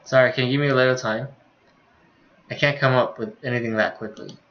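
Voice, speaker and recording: Male game streamer, mid pitch, casual read-aloud of story dialogue, muffled lo-fi sound. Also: fairly quick, a little hiss or hum. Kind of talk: reading visual novel dialogue aloud, casual commentary.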